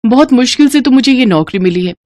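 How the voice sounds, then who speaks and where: A woman's voice: on the high side, animated, fast, darkish tone, dry recording, pitched above the performer's own voice, natural voice. A woman voicing a dutiful, eager young village woman in a story. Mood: earnest.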